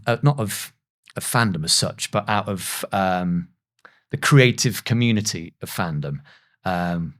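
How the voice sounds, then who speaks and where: A man in his thirties, a voice actor, telling anecdotes in a casual, self-deprecating way as a podcast guest. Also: fairly low pitch. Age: 30s.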